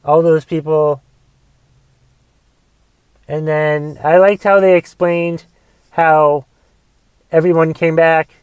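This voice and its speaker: Raspy fortyish man musing aloud. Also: fairly high pitch, little pitch movement, slow, long pauses, a little mellow, dry recording. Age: 40s. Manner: thinks aloud, musing questions, trailing fillers. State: raspy from a cough. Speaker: podcast host. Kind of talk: casual rambling movie review.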